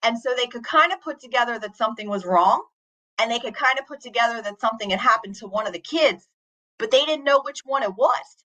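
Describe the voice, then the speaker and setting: Woman guest, 40s, earnest and reflective storyteller, bright clear tone. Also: fairly high pitch, fairly quick, poor audio. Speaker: teacher and author, podcast guest. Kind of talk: storytelling in an interview.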